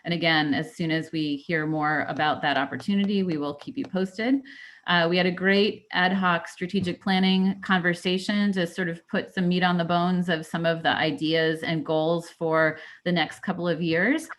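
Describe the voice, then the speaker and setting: Female director, lowish voice, halting report delivery full of fillers, video call. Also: steady tone, a bit bright. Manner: halting, with frequent fillers and restarts. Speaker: executive director giving a report. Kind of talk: formal board meeting report.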